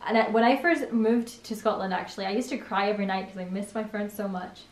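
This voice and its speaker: A woman's voice: mid-range voice, steady tone, fast talker. A young woman from Spain hosting a casual chat, talking with lots of fillers and laughing easily. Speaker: channel host. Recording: echoey room.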